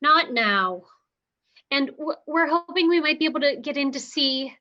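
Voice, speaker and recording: An earnest young woman, played by a woman who asks politely and pleads. Her voice is high and bright, heard through dull, lo-fi livestream audio. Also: animated, moderate pace. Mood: pleading.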